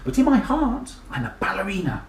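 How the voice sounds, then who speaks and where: Reader playing gentle dinosaur girl, earnest, lilting, echoey. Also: medium pitch, big pitch swings, a little fast, voice pushed up. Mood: dreamy. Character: big, gentle young female dinosaur who dreams of dancing. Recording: echoey room.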